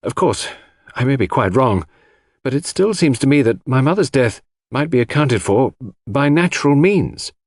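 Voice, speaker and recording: A man voicing a hesitant, apologetic and polite gentleman witness in a detective audiobook. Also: mid-range voice, lively intonation, moderate pace, dry recording, clean studio sound, pitched above the performer's own voice. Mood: hesitant, deferential. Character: hesitant, deferential gentleman witness offering a theory.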